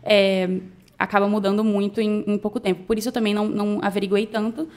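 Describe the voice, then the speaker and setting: A woman's voice: mid-range voice, little pitch movement, quick pace, darkish tone. A woman guest, a doctor, chatting casually in an interview, hesitant with many restarts.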